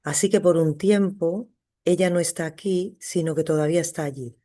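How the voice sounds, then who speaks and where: Casting: woman, researcher presenting online, halting and conversational, video-call sound. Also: fairly low pitch, warm tone. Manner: hesitant, many fillers and restarts. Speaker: psychotherapist and researcher giving an online talk. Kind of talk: academic talk, conversational.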